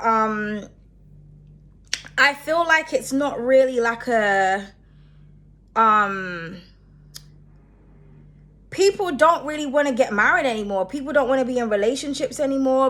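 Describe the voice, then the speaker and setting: A woman's voice: on the high side, a bit bright. A young woman hosting her own channel, talking casually and rambling, sniffly from hay fever and often tagging "okay?" onto her points. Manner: chatty, rambling, keeps asking "okay?". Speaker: channel host. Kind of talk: casual solo talk to camera.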